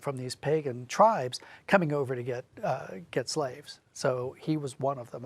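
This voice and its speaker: A man with a somewhat high voice talks as an interview guest, recorded very dry and close. He explains things informally, halting now and then to correct himself. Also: fairly bright tone, no room sound. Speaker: interview guest, audio drama writer.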